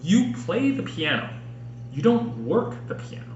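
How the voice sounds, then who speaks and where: A man in his late thirties reading his own personal writing aloud, earnestly and emphatically, with dramatic pauses. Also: high voice, very animated, highs cut off. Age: late 30s. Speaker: author and podcast host reading own book. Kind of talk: expressive audiobook-style personal narration. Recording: roomy echo, faint background noise.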